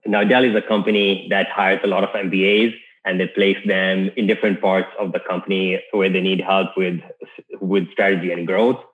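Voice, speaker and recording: Male guest, from Kenya, low-pitched, easygoing, heard down a tinny call line. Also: level tone, normal speed. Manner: easygoing, with frequent restarts. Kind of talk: casual podcast interview.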